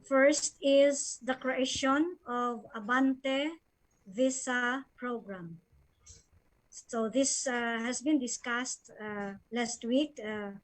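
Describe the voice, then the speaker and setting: Female presenter, Filipino accent, high voice, formal and measured delivery of an official plan. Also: low-quality recording. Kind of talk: formal presentation read from slides.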